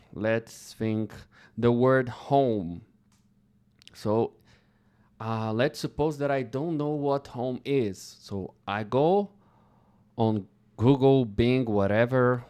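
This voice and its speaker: Slow-speaking Brazilian man teaching English. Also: mid-range voice, darkish tone, clean tone. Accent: Brazilian Portuguese. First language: Portuguese. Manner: slow, careful, deliberate phrasing with pauses. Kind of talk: slow English lesson talk.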